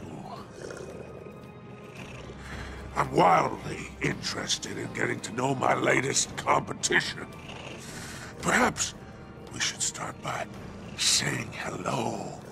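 Gravelly alien mob boss for a game, sly n menacing, slow drawn out lines. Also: male voice, full character voice. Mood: sly amusement. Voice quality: deep gravelly, growling. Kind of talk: video game character acting.

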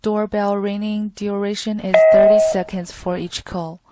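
A woman reading a scripted instructional product tutorial in a flat, even delivery. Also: medium pitch, measured pace, very noisy.

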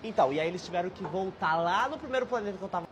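A man's voice: high voice, expressive, very fast, background noise. A man playing an over-eager superhero nerd. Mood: lecturing. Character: excitable young male superhero nerd.

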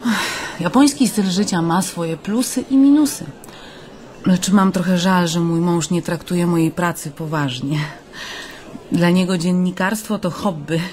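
A woman's voice: lowish voice, measured pace, darkish tone, lightly acted. An actress playing a friendly, candid woman journalist, attentive and confiding, in a conversational radio drama. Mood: confiding, thoughtful.

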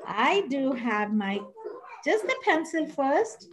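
A middle-aged woman leads an online preschool lesson, coaxing little children and singing out animal noises. Her voice sits high and swoops widely, and she takes her time. The video-call sound carries a faint hiss. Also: big pitch swings, slow. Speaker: preschool teacher. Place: classroom-like room with posters, indoors.

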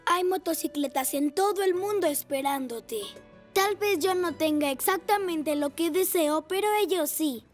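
A performer voicing an eager, kind young boy motorbike in an animated cartoon, earnest and excitable. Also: very high voice, measured pace, soft background music. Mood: determined, hopeful.

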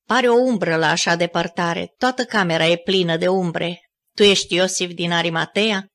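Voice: medium pitch, close to an everyday voice. A reader voicing Pilate as a sick, feverish Roman governor who moans weakly and then raves wildly, in an audiobook. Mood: weak, bitter. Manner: weak and moaning, then wild shouting. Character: dying feverish male Roman governor, raving.

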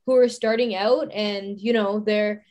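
A woman's voice: mid-range voice, steady tone, unhurried, a little mellow, muffled recording. A university student in her twenties speaking on a video call panel, thoughtful and circling back with frequent "you know" and restarts. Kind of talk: casual panel discussion.

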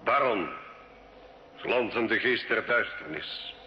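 A male actor playing a cunning, scornful sorcerer and alchemist, solemn, measured and theatrical, in an old radio drama. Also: lowish voice, animated. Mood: ritual incantation. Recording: dull, echoey, hissy old radio recording.

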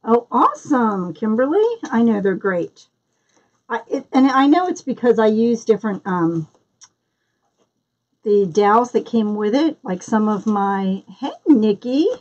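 A woman with a Philadelphia accent talks slowly through a live craft demonstration, her voice a little husky. She chats along and greets viewers by name between steps. The recording sounds dull and lo-fi. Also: medium pitch, darkish tone. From Philadelphia area, US. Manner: chatty, greets viewers by name while working. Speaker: craft tutorial host.

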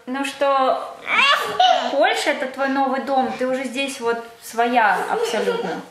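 A woman's voice: high-pitched, a little slow. A woman in her thirties asking interview questions casually at a home table, often searching for words. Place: home room at a round table. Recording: roomy sound with loud hiss.

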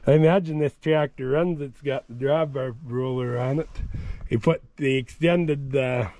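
A man's voice: mid-range voice, fairly even intonation, measured pace, darkish tone. A man giving casual walkaround commentary outdoors at a farm auction, plain-spoken, thinking aloud and often restarting his sentences. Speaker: tractor vlogger touring an auction. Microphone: camera carried at hand, wide angle.

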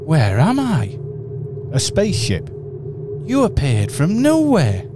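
A man doing a squeaky excitable young bear. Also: takes it slowly, over music, heavily acted. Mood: excited. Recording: radio play with clear background noise.

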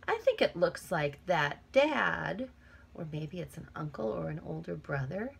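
A woman's voice: mid-range voice, vocal fry, slight background noise. A middle-aged woman teacher reading a picture book aloud to young children, expressively, with chatty asides. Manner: expressive, acts out feelings with emphasis and whispers. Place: small cluttered room at home, indoors.

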